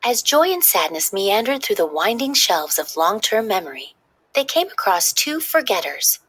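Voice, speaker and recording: A woman reading a children's story aloud in expressive, scripted narration. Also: mid-range voice, normal speed, husky.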